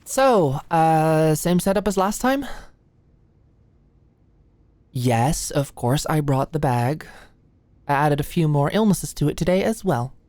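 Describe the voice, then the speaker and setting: Woman playing a nervous young female medical student, lowish voice, dry intimate sound, ASMR roleplay, hesitant, stammering, endearingly flustered. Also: animated, takes it slowly, long pauses, darkish tone, dry recording. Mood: awkward, earnest. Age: young adult.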